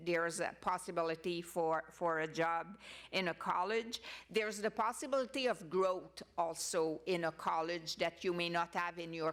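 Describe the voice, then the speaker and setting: A woman's voice: mid-range voice, fairly bright tone. A woman panelist giving an unscripted answer in a conversational, explanatory way. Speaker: conference panelist.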